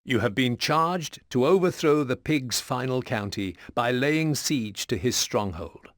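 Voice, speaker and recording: A man giving formal, measured, serious scripted narration for a medieval war game briefing. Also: medium pitch, expressive, fairly bright tone, dry recording.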